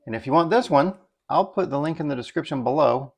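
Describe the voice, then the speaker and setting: A man's voice: on the high side, animated, quick pace, husky. A middle-aged man giving a casual, unscripted product review, thinking aloud in a folksy, easygoing way. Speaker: product reviewer, video host.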